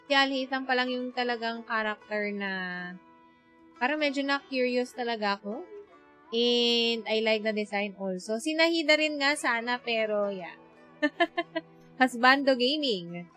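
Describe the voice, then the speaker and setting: A woman's voice: a little high, normal speed, pushed and bright. A woman with a Filipino accent giving casual livestream gameplay commentary, switching between Tagalog and English. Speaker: game streamer. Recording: faint music behind the voice. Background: game music.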